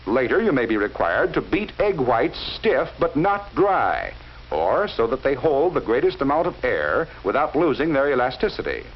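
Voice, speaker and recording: A man narrating a 1940s educational film in a measured, instructive way, speaking directly to the viewer. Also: mid-range voice, very animated. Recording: dull lo-fi 1940s film soundtrack with faint hiss.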